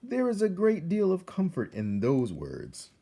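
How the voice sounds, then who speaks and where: A man reading a children's story aloud as narrator, expressive and measured, with dramatic delivery. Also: fairly high pitch, slight background noise. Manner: measured, dramatic, addresses the listener.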